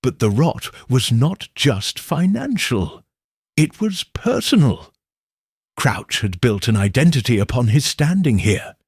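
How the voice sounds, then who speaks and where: A man voicing a cool, exacting middle-aged detective in an audiobook, speaking in a measured, coldly certain way with a husky voice. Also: medium pitch, sing-song, dry recording, clean studio sound, full character voice. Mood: explaining. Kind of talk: audiobook character reading.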